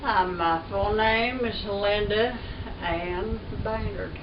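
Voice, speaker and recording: A woman in her seventies, a retired mill weaver, recalling her work in a slow, unhurried way. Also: mid-range voice. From North Carolina. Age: 70s. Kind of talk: casual interview. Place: living room. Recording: dull roomy audio from a far camera with background noise.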